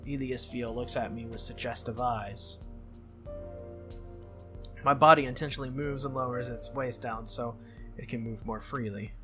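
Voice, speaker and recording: A game playthrough host reading a visual novel aloud in an expressive way, with casual reactions. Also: medium pitch, normal speed, long pauses. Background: game music.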